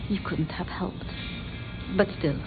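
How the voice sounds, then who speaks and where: A woman voicing a timid, bookish, deferential vampire scholar in video game dialogue, speaking haltingly and apologetically. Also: fairly low pitch, narrow, tinny audio. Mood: halting grief. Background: background music.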